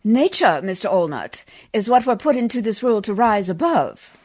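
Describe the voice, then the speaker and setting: A woman's voice: mid-range voice, very animated, moderate pace, a little mellow. A woman acting a prim, pious, stern lady with formal, reproving speech in a radio play. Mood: cold reproach.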